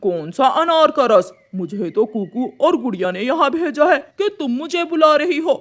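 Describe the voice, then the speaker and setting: A woman's voice: high-pitched, animated, moderate pace. A woman voicing a greedy, grumbling male crow in a cartoon.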